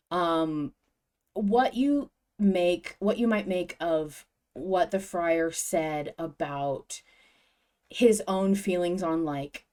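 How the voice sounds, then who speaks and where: A woman's voice: fairly low pitch, darkish tone. A woman co-hosting a casual fan discussion podcast, talking emphatically with gushing enthusiasm and some swearing. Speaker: podcast host.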